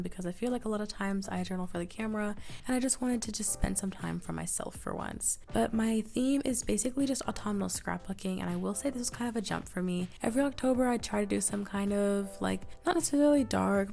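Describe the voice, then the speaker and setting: A teenage girl talks quickly and chattily, her voice gravelly and a little nasal from being sick. She narrates her vlog in an upbeat, self-mocking tone, with soft music playing under her words. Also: medium pitch. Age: late teens. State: scratchy, nasal from a cold. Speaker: planning vlogger. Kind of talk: casual voice-over narration.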